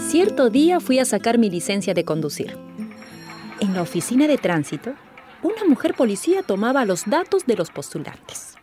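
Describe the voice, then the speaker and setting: An actress playing a proud, witty mother who narrates her own anecdote in a measured, expressive radio play style. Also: a little high, music in the background. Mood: reflective narrating. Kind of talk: radio drama storytelling.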